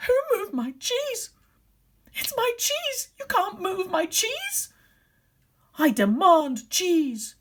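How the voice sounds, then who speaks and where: An indignant, entitled character from a children's story, acted out by a woman. The voice shoots up high and swoops about, loud and demanding, stretching words into a wail. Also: big pitch swings, exaggerated voice. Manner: loud, demanding, wailing and drawn-out. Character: indignant, entitled humans wailing over their stolen cheese.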